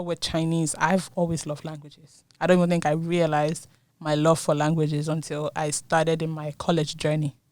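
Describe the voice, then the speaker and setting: A woman's voice: sits low, no room sound, clean studio sound. A young woman in her twenties from Nigeria, speaking Yoruba natively, talking as a guest in a casual interview and laughing often between phrases. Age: 20s. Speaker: guest, university student.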